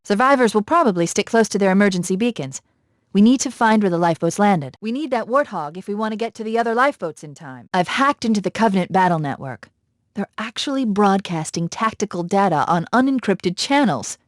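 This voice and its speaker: Actress as a crisp, commanding female military AI. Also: mid-range voice, expressive, a little fast, dry recording, studio quality, natural voice. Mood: brisk, focused. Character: quick-witted female military AI companion, calm but urgent. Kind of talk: video game voice acting.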